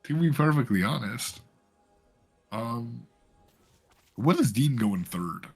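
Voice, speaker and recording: A man casting a live esports match, joking around and getting excited about the plays. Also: mid-range voice, takes it slowly, vocal fry, husky, faint music behind. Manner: joking, excitable, laughs often. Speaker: esports caster. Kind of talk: live game commentary.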